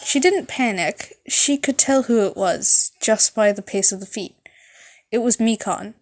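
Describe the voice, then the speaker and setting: A woman narrating fan fiction as an amateur reader, halting at times and correcting her stumbles. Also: medium pitch, expressive, a little mellow.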